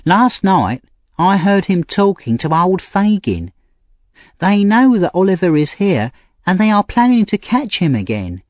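A man's voice: big pitch swings, measured pace, no room sound. A man voicing a frightened, tearful young woman thief in a storybook reading, pleading and urgent. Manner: pleading, urgent and high-strung. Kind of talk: audiobook character reading.

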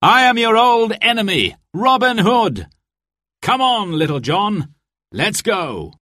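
A man's voice: fairly high pitch, wildly swooping pitch, drawn-out words, pushed and bright, full character voice. A man voicing a bold, defiant young outlaw hero in a storybook, with emphatic, spirited delivery. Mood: gleeful triumph.

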